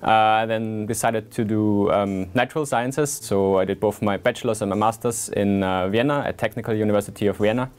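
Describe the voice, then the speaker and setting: A man's voice: fairly low pitch, fairly even intonation, brisk, hardly any pauses, a little mellow, clear, resonant tone. A young man in his twenties from Austria, speaking English, talking earnestly with many fillers as a PhD student in an interview. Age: 20s. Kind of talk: scientist portrait interview.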